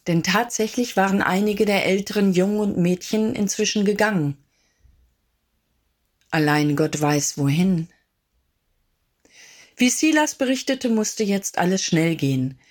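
A woman's voice: mid-range voice, animated, unhurried, long pauses. Solemn woman narrating a radio drama.

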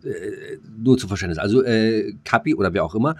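A man with a fairly low voice chats as a podcast co-host. He talks loosely and colloquially, full of slang, teasing his conversation partner in an informal way. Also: fast. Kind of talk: casual chat.

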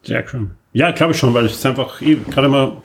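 A man with an Austrian accent and a medium-pitched voice hosts a podcast. He talks casually and likes to repeat and pile up phrases for emphasis. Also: a little fast, creaky, slight background noise. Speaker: podcast host. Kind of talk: casual discussion.

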